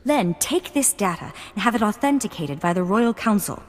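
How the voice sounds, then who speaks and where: A woman's voice: medium pitch, animated, moderate pace, close to an everyday voice. An actress playing a refined, flirtatious noblewoman in a video game cutscene, speaking with formal poise. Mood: coolly flirtatious. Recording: long hall reverb, music behind.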